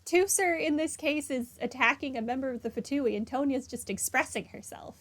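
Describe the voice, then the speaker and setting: High-voiced woman doing game commentary. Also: fairly quick. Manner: wry, reacting aloud to the game. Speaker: gaming streamer. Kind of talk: casual playthrough commentary. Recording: game music behind the voice.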